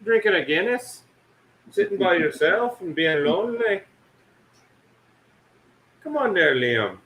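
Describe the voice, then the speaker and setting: A lonely, beer-drinking Irishman in a mock Irish accent, put on by a man. The voice sits well above his usual pitch and has a bright, forceful tone. It teases and coaxes in a playful sing-song. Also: big pitch swings, slow, slight background noise, full character voice, low-quality recording. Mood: teasing.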